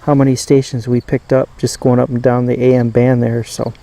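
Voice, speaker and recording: A man giving a casual hands-on demonstration as host, rambling and thinking aloud in a halting way. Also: mid-range voice, little pitch movement, creaky, dark tone. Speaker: host of a radio collecting channel.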